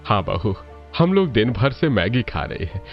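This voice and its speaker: Man acting an elderly, low-voiced father-in-law. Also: sing-song, moderate pace, vocal fry, highs cut off, soft background music. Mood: protesting. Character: elderly, mild father-in-law in a family cartoon.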